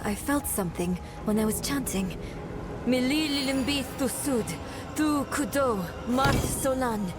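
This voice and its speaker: A young woman playing an earnest, troubled traveler in a dramatic video game, soft-spoken and hesitant. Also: high-pitched, measured pace, heavy background noise. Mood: puzzled, thoughtful. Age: young adult. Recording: loud background music.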